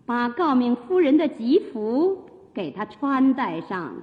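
An actress playing an imperious, scheming empress in a radio drama, haughty and commanding under false kindness. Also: female voice, a little high, sing-song, takes it slowly. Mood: commanding, sly. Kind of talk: theatrical Chinese radio drama acting. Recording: muffled radio drama with long reverb.

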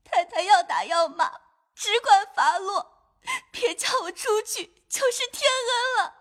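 A woman's voice: extremely high pitch, lively intonation, measured pace, fairly bright tone, heavily acted. A woman playing a distraught young maid of old China in an audio drama, pleading through tears. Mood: sobbing plea. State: crying. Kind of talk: audio drama acting of a classical novel.

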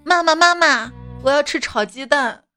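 A woman's voice: high voice, moderate pace, bright, forceful tone. A woman speaking as a mother and comic storyteller, in playful, coaxing scripted chat addressed to a child. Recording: background music under the voice.

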